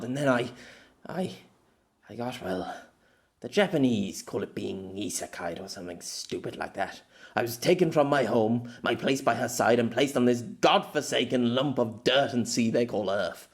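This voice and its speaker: A man's voice: medium pitch, moderate pace, natural voice. A man playing a middle-aged exile in an audio story, bitter, hot-tempered and desperate, stammering and swearing in dramatic outbursts. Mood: bitter, grieving.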